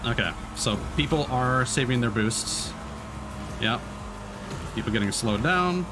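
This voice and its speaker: A man's voice: mid-range voice, animated, unhurried. Casual male game streamer over game music. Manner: swears casually. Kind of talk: casual livestream gaming commentary. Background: game music and racing car sounds.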